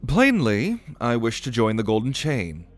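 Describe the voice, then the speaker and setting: A man's voice: medium pitch, wildly swooping pitch, measured pace, soft background music. A man playing an eager, proud young noble mage prince in an audio roleplay, speaking in a theatrical, earnest way. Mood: formal, determined. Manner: theatrical, earnest, grandly expository. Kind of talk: acted audio roleplay spoken to the listener.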